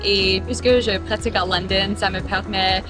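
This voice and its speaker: A woman's voice: mid-range voice, steady tone, moderate pace. A woman, not a native French speaker, giving a spontaneous testimonial. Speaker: lawyer, congress member. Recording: voice under clear music.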